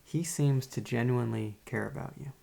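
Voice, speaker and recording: A man playing a calm, formal, probing psychiatrist in a soft-spoken ASMR roleplay, with a creaky, husky voice and a measured, polite manner. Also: medium pitch. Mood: calm, courteous. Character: calm, formal, probing male psychiatrist interviewing a young patient.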